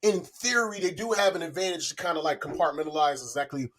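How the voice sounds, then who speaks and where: A man's voice: fairly high pitch, expressive, quick pace, crowd noise. A man in his forties chatting casually and telling personal stories, with profane, slangy talk and a habit of repeating himself. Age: 40s. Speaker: podcast guest. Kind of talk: casual chat, personal anecdotes.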